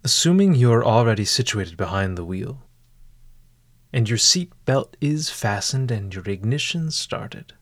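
A man narrating a scripted guided meditation in a formal, measured and eloquent manner. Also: medium pitch, lively intonation, dry recording.

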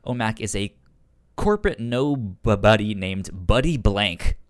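Man, podcast co-host, lowish pitch, stutter with repeated syllables, loose informal chat, very dry recording. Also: animated, no room sound. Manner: loose and informal, halting delivery. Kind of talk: casual comic book discussion.

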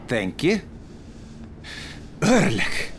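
An actor playing a genial, polite ship's officer in a period audio drama, with clipped formal speech. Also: male voice, mid-range voice, huge pitch swings, drawn-out words, slight background noise, exaggerated voice. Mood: genial, musing.

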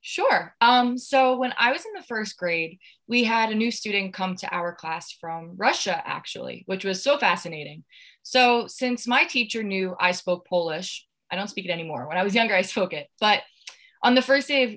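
Bright-voiced young woman, earnest. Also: mid-range voice, expressive, moderate pace. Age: young adult. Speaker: education student, podcast co-host. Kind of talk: partly scripted podcast discussion.

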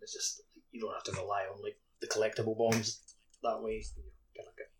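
A man's voice: lowish voice, flat delivery, brisk, noisy background. A man on a podcast chatting casually about a video game, peppering his talk with "like". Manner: frequent filler "like". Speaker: podcast host.

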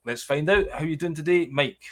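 Scottish male host, excitable fast patter, casual interview over a call. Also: fairly high pitch. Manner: excitable, talks fast, says wee. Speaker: podcast host.

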